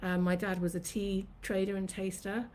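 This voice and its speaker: A woman in her fifties, originally from Sri Lanka, talking on a live stream from her home kitchen. She reminisces at length in a chatty, reflective way, recalling her childhood and early years. Her pitch sits in the middle range for a woman. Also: level tone, moderate pace, darkish tone. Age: 50s. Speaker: host of her own channel. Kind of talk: live-streamed personal storytelling.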